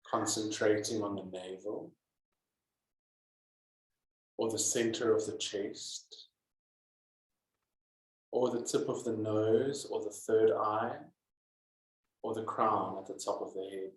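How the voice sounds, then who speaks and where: Slow-speaking man guiding yoga, echoey room. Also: on the low side. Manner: calm, deliberate, with many pauses. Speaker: yoga teacher. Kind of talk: guided yoga and meditation instruction. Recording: echoey, roomy sound.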